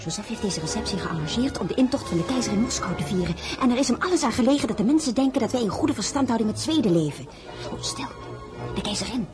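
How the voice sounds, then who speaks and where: An actress as a fast, fretful, scolding sister. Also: female voice, a little high, expressive, music in the background, natural voice. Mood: anxious hushing. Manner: agitated, reproachful. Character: fussy, anxious, scolding sister at court. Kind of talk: radio play acting.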